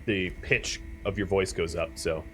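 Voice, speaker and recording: A young man with a deeper voice chatting casually to his stream while playing a survival game, thinking aloud with plenty of fillers. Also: fairly even intonation, unhurried, soft background music. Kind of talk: casual gameplay commentary to live chat.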